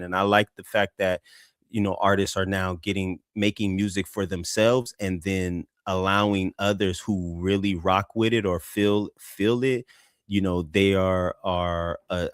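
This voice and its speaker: Deep-voiced man, rambling review talk. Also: dry recording, studio quality. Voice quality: deep voice, flat delivery. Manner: rambling, many restarts and fillers. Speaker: co-host music reviewer. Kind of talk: casual live music review chat.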